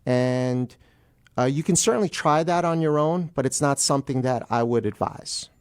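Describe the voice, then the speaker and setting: A man's voice: mid-range voice, moderate pace, smooth. A middle-aged man speaking as a guest attorney in a radio interview, explaining procedure in an emphatic way.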